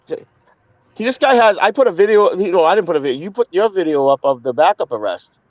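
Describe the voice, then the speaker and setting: A man's voice: high voice, animated, fairly quick, darkish tone, dry recording, lo-fi sound. A man on a live online panel who talks bluntly and with heat, often stumbling and repeating words. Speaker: panel guest. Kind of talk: heated casual live-stream chat.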